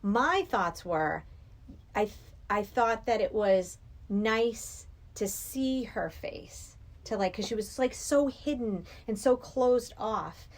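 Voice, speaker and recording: A woman's voice: mid-range voice, lively intonation, slow pace. A middle-aged woman in casual discussion of a film, reasoning things out in a reflective way.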